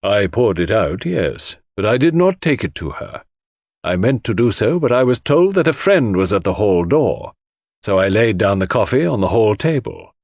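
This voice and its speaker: A man reads as a widowed husband under suspicion in a mystery audiobook. He is composed, stiff and self-justifying, speaking deliberately with varied pitch in a dry recording. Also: sing-song, a little mellow, no echo. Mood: defensive.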